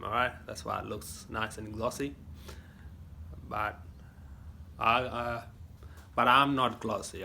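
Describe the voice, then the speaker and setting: A man's voice: medium pitch, vocal fry, pushed and bright, slight background noise. An Australian man talking casually into his phone camera, giving rambling folksy life advice with lots of fillers and restarts. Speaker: vlogger. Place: home gym.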